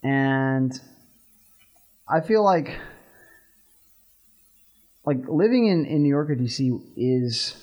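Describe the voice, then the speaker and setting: A man's voice: mid-range voice, slow pace, pauses often. A young man with a Texan accent, co-hosting a college radio show in casual, rambling chat. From Texas. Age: young adult. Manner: relaxed, rambling with many "like" fillers.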